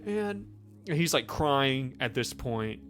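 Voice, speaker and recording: With faint music behind, a man acts out a guilt-ridden young man in a tabletop game. He speaks in halting, slangy bursts full of swearing, stammering as if under questioning. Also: medium pitch, very animated, fairly bright tone. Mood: tearful panic.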